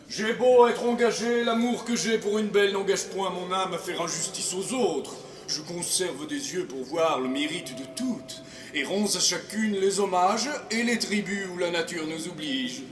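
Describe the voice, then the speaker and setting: In a reverberant hall, a man acts out a swaggering aristocratic libertine from classical stage drama. His voice sits high for a male and rings out bright and forceful. He declaims with grand theatrical flourish, cynical and sure of himself, moving between loud boasts and hushed asides. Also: slight background noise, close to an everyday voice. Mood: boastful, impassioned. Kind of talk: classical French theatre monologue.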